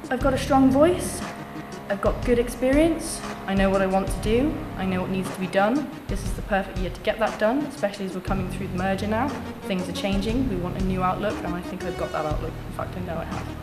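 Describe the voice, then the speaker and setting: A young woman making an earnest, confident campaign pitch as a student election candidate, restating her points in lists. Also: fast. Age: young adult. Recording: echoey room with music behind.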